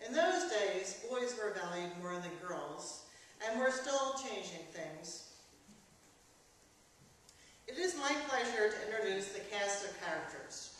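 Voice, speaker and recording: A woman gives a formal, prepared introduction as an author presenting a performance. Her delivery is measured. She sounds far from the mic in a very echoey church, with faint noise. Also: medium pitch, fairly bright tone. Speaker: novelist introducing her staged reading.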